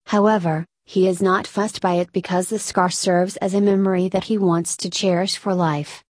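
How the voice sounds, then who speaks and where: A woman narrator reading a scripted feature article aloud in a measured way. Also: fairly low pitch, level tone, a little mellow, no echo, clean studio sound.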